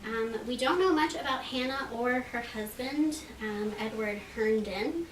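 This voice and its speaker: Female event presenter, informal and a bit halting, recorded from a distance with clear background noise. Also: on the high side, echoey room. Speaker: presenter introducing readers at a library event. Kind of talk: informal live introduction with historical background. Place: library reading room at a public event.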